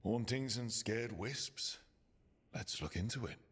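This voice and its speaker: A man voicing a resolute team leader in a fantasy video game, steady and earnest. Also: medium pitch, slow pace, husky, full character voice. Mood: inquiring.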